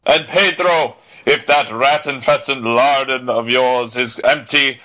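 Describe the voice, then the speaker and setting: An actor playing a blustering, swaggering bully who barks imperious, insulting orders in a dramatised audiobook. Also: male voice, medium pitch, takes it slowly, sharp tone. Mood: bullying, commanding.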